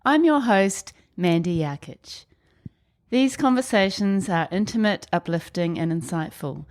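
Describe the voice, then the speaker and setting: New woman hosting a podcast, lowish voice. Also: lively intonation, unhurried, darkish tone. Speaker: podcast host. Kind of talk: scripted intro then casual interview chat.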